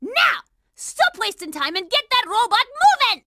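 A woman voicing a bossy, exasperated girl commander in a cartoon video game, scolding loudly. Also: extremely high pitch, sing-song, normal speed, heavy background noise. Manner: scolding, loud.

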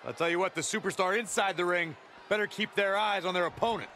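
A keen wrestling commentator with a bright, forceful voice set high. He speaks in video game sports commentary style, with faint noise behind him. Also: quick pace. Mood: excited.

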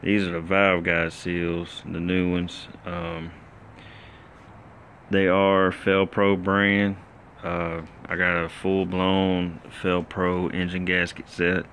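Middle-aged home mechanic, deep unhurried voice, casual how-to talk on a phone mic. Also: male voice, little pitch movement, a bit bright, a little hiss or hum. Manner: plainspoken, rambling with many fillers. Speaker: home mechanic filming a rebuild. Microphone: handheld phone camera.

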